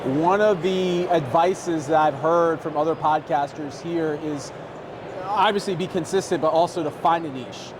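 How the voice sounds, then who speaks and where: Higher-pitched male interviewer, noisy background. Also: measured pace. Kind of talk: interview questions. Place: busy podcast convention. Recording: noisy urban background, on location.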